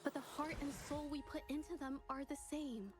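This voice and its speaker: A woman's voice: high voice, fairly even intonation, moderate pace, close to an everyday voice. A woman voice acting an earnest, intense young female painter in a fantasy video game. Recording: game audio under loud music.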